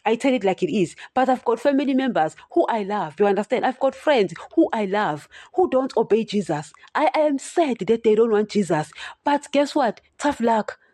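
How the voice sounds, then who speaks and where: Female podcast preacher, stutters, blunt and repetitive, mellow tone, dry close sound. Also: fairly high pitch, lively intonation, moderate pace, no room sound. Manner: direct, repeats "you understand?", addresses listeners as guys. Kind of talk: solo preaching podcast talk.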